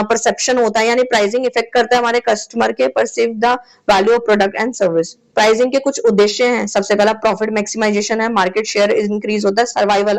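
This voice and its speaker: A woman's voice: mid-range voice, rough recording. A woman teaching an exam-prep lecture in a chatty way, often checking in with her students, her voice a little sore. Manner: chatty, repeats "theek hai" often. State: sore throat.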